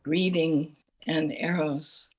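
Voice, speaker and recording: A woman with a raspy voice reading a poem aloud slowly and softly over a video call. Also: sits low, steady tone. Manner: soft, slow, deliberate pauses. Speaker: poetry reader.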